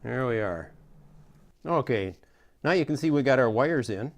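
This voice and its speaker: A man's voice: medium pitch, expressive, measured pace. A middle-aged man giving a casual, hands-on tutorial in a folksy, step-by-step way. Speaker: how-to host demonstrating a repair.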